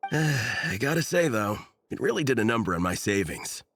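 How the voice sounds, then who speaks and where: An earnest young collector geek from a video game. His voice is husky and swings widely in pitch as he explains his hobby with self-deprecating enthusiasm. The sound is dry and close. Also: very animated, moderate pace, fairly bright tone, no echo, exaggerated voice. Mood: rueful. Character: earnest nerdy young man, devoted mecha comic collector. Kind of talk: English video game voice acting.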